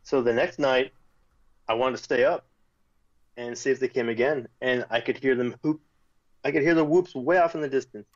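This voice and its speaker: A man guest telling a personal story in casual talk, animated when quoting others, with restarts. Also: medium pitch, moderate pace, pauses often, highs cut off. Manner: animated retelling with quoted voices, restarts.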